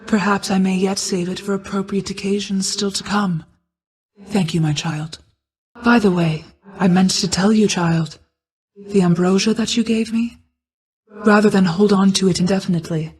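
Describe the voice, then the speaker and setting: A woman voicing an ancient goddess of night in a video game, a solemn, caring mother who speaks in a formal, measured, reserved way. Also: medium pitch, a little mellow, close to an everyday voice, low-quality recording. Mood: tender, grateful, then gently warm. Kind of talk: video game character dialogue.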